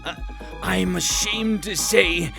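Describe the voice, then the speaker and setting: A man voicing a gentle, timid, kindly handyman with a hesitant, polite, soothing manner in a comic dub. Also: sits high, takes it slowly, gravelly, over music, full character voice. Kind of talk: animated comic dub acting.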